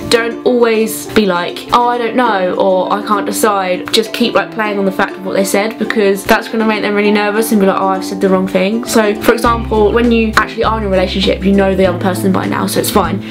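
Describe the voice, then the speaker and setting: A woman's voice: mid-range voice, steady tone, a little fast, hardly any pauses. A young woman, chatting casually and informally as she gives advice to camera, rambling a little and acting out quotes. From England. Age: young adult. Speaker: vlogger. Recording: music playing behind the voice.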